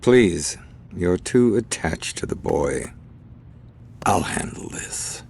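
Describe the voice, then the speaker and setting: An actor playing a sardonic older male crime boss in a video game, with dry, measured, sarcastic speech. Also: deep voice, expressive, creaky, darkish tone, slightly rough, heavily acted. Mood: calculating. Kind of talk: video game cutscene acting.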